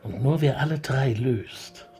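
A man's voice: medium pitch, a little slow, dark tone, faint music behind, close to an everyday voice. A man playing a courteous, slightly flustered middle-aged police commissioner who loves opera, in a conversational radio crime comedy, polite, hesitant and wry. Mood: musing, enthusiastic. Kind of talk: German radio play acting, conversational.

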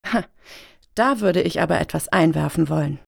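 A woman's voice: low-pitched, normal speed, vocal fry, no echo, studio quality, full character voice. A woman playing an earnest, preachy progressive panel member in a satirical radio play, speaking pointedly. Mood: pointed, indignant.

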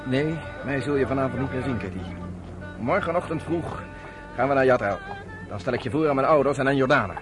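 An actor playing an earnest, self-assured young man of the underground in a Dutch radio play, with a measured way of explaining things. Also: mid-range voice, music in the background, lightly acted. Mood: brisk.

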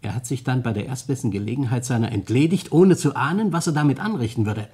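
Shrewd, husky police detective. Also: male voice, mid-range voice, expressive, warm tone. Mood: probing. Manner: formal, deliberate, lecturing. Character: shrewd, self-satisfied male police detective, courteous but commanding. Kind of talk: German radio crime play acting.

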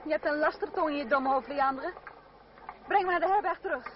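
Actress as high-voiced, spirited young sweetheart. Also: female voice, fairly quick, lightly acted. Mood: piqued. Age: young adult. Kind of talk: radio play acting. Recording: dull, very noisy old radio recording.

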